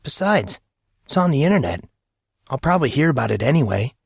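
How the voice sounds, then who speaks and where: A man's voice: medium pitch, sing-song, a little fast, vocal fry, husky, no room sound, studio quality, pitched above the performer's own voice, full character voice. A man voicing a stubborn, uneasy fourteen-year-old schoolboy with a casual, hesitant manner in audiobook dialogue. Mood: resolute.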